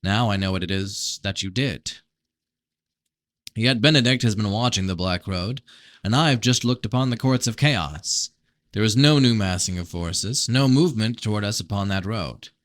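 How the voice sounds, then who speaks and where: Male reader as suspicious fantasy hero: lowish voice, terse, challenging, dry studio-like sound. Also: normal speed, fairly bright tone, no room sound, close to an everyday voice. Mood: pressing, suspicious. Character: blunt, suspicious fantasy prince interrogating his brother. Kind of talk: first-person novel reading.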